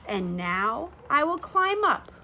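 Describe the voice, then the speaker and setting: A woman in her thirties reading a rhyming children's picture book aloud in a deliberate, expressive way, pausing to explain. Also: high-pitched, slight background noise. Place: small sunroom with large windows, indoors.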